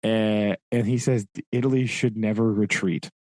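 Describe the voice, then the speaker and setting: A man's voice: lowish voice, fairly even intonation, moderate pace, a little mellow, no room sound. A man co-hosting a podcast, casually retelling a novel's events with frequent "right?" checks and occasional laughs. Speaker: podcast co-host. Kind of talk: casual book discussion.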